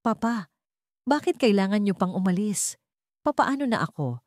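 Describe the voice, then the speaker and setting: Woman as a plaintive, higher-pitched tearful girl. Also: very animated, moderate pace, a little mellow, dry recording, studio quality, close to an everyday voice. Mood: tearful, pleading. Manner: pleading, plaintive. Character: tearful, anxious young daughter pleading with father.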